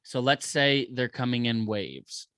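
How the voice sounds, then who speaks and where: A man co-hosting a podcast in casual chat, animated and saying "bro" and "dude" a lot. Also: medium pitch, unhurried, smooth, dry recording, clean studio sound. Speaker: podcast co-host.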